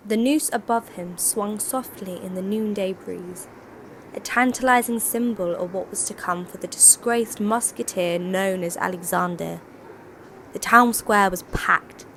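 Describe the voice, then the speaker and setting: A woman's voice: mid-range voice, measured pace, fairly bright tone, a little hiss or hum. A woman narrating a scripted, dramatic short story for an audio drama.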